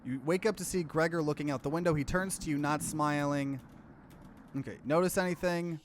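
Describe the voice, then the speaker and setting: Higher-pitched man narrating a game playthrough. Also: fairly even intonation, fairly quick, slight background noise. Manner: switches between reading aloud and offhand commentary. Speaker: streamer, let's player. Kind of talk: let's play reading visual novel narration aloud with casual asides.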